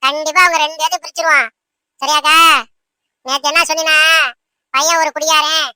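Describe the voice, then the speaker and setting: Woman playing sly cartoon troublemaker, extremely high, piercing, boastful, malicious, emphatic, self-dramatizing. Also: fairly even intonation, a little fast, pushed and bright, no room sound, clean studio sound. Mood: gloating. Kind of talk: amateur cartoon dialogue in colloquial speech.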